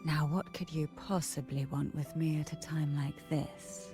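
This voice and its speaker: A woman playing a composed, secretive noblewoman in a video game, speaking in a measured, formal way. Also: sits low, fairly even intonation, over music. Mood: coolly teasing. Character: enigmatic noblewoman, composed, secretive head of a fallen family. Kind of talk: video game character dialogue.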